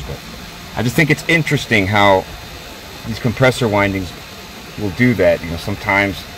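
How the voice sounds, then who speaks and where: A man, a refrigeration technician casually walking viewers through a fault on a rooftop, filmed on a phone held close. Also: fairly low pitch. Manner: relaxed, plain-spoken, talks to viewers as "y'all". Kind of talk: casual on-the-job walkthrough. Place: outdoors on a commercial rooftop by running equipment. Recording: loud machinery and traffic noise. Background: loud compressor and fan hum.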